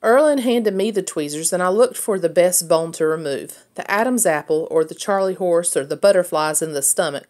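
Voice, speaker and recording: A woman reading a children's book aloud in an expressive storytelling style. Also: fairly low pitch, moderate pace, hardly any pauses. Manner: acts out dialogue as a child narrator's story. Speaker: read-aloud reader.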